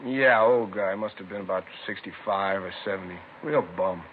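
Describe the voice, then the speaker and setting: A man's voice: low voice, moderate pace, natural voice. An American man playing a plain-spoken liquor store keeper who was robbed, terse and colloquial, in a 1950s radio drama. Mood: matter-of-fact, rattled. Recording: tinny, lightly noisy 1950s radio recording.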